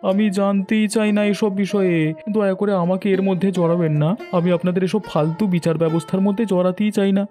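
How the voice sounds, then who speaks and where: A man plays an irritable cartoon villager who wants no trouble. His voice is high and mellow, his delivery fast and dismissive. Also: fairly even intonation, faint music behind, natural voice. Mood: annoyed, dismissive. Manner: dismissive, exasperated. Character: irritable, unhelpful village man guarding his own peace. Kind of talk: Bengali cartoon voice acting.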